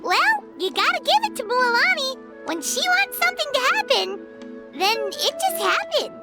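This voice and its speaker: A woman's voice: very high-pitched, sing-song, normal speed, full character voice. A woman playing a cheerful, teasing young girl in a video game, playful and casual, with music behind her. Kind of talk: video game character dialogue.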